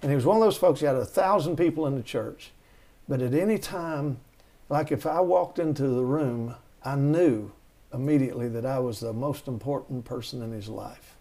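A man's voice: medium pitch, normal speed, dark tone. A middle-aged man, telling rambling stories as an interview guest, with many restarts. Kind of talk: storytelling conversation.

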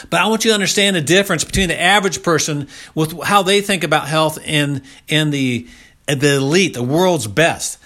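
A man's voice: on the high side, fairly bright tone. A man of about sixty giving a motivational health talk, speaking emphatically and repeating key phrases for stress. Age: around 60.

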